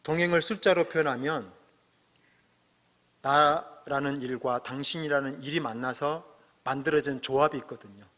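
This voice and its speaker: A middle-aged man preaching a sermon as pastor, earnest, swelling to emphatic peaks and dropping to soft asides. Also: a little high, fairly even intonation, moderate pace. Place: church sanctuary. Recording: long hall reverb.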